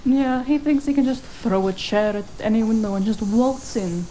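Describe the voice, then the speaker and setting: A woman playing a bossy, sharp-witted cyberpunk fixer in a noir audio drama, sardonic and scolding. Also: a little high, moderate pace, a little hiss or hum, natural voice, poor audio. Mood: businesslike. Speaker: voice actor.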